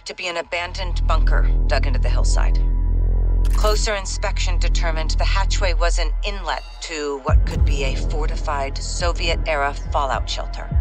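An actress playing a composed, authoritative intelligence chief in a video game, giving a measured, matter-of-fact military briefing. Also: female voice, deep voice, lightly acted. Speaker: mission briefing commander. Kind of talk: scripted military briefing narration. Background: background music.

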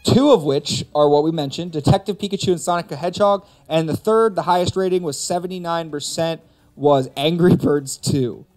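A man with a fairly high voice hosts a gaming and movie stream. He talks casually and emphatically, building up his points, with some music playing behind him. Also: a little fast, a little mellow, rough recording. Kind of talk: casual opinionated chat. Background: background music.

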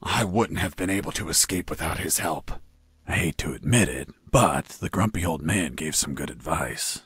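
Low, husky deadpan soldier. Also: male voice, normal speed, no room sound, heavily acted. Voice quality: husky, gravelly. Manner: deadpan, dry. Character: gruff male soldier recounting his prison escape, deadpan wisecracker. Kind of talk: video game voice acting, narration.

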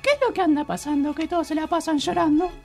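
A man's voice: very high voice, quick pace, vocal fry, soft background music. A man voicing a cheeky, brusque little teddy bear with a sing-song, sarcastic delivery in a comic radio play. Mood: grumpy.

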